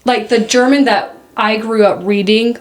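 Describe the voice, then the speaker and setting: A woman's voice: a little high, steady tone, normal speed. A young woman with a Pennsylvania Dutch accent, co-hosting a casual podcast chat and rambling through her thoughts with many restarts. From Pennsylvania Dutch community, US. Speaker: podcast co-host.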